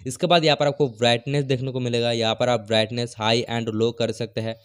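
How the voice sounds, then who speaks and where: A man's voice: mid-range voice, flat delivery, brisk, clean tone. A man narrating a phone tutorial as a tech YouTuber, explaining each step in a repetitive, methodical way.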